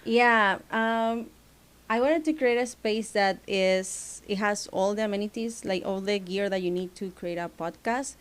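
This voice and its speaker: A woman from Venezuela speaks English with a Venezuelan Spanish accent, her voice smooth and clean at an ordinary mid pitch. She explains things in a relaxed, rambling way, full of "like" fillers. She sounds close to a studio podcast mic in a small studio. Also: unhurried. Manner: relaxed, many "like" fillers, plain non-native phrasing. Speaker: studio founder, guest. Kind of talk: casual interview chat.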